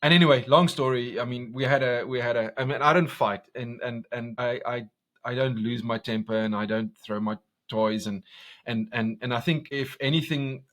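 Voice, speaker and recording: Man, afrikaans accent, south african, loud, intense, passionate, repeats himself. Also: mid-range voice, moderate pace. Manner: intense, passionate, talks loud, many restarts. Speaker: commercial director, guest. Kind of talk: podcast interview.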